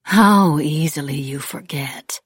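A woman's voice: deep voice, breathy edge, no echo, studio quality, exaggerated voice. Woman acting a cold, commanding blood witch matriarch. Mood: cold menace. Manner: slow, deliberate, disdainful.

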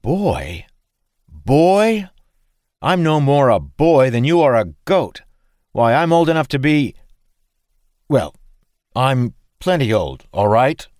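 A man's voice: fairly low pitch, lively intonation, measured pace, no echo, studio quality, pitched above the performer's own voice. A man doing a prickly, proud old soul in a young body. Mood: indignant. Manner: indignant, fussy, formal.

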